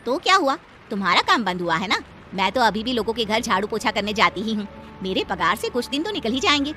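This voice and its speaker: A woman's voice: fairly high pitch, big pitch swings, fast talker, bright, forceful tone, soft background music. Woman playing a fretful poor housemaid wife. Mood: anxious. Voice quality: pleading, fretful. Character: poor young housemaid wife, anxious, dutiful. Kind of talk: Hindi cartoon voice acting.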